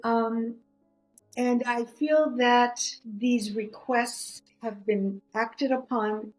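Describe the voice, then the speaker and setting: A middle-aged woman whose first language is Greek, a podcast guest telling stories in a lively way, full of restarts and "you know". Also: on the high side, very slow, soft background music, poor audio. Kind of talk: conversational storytelling.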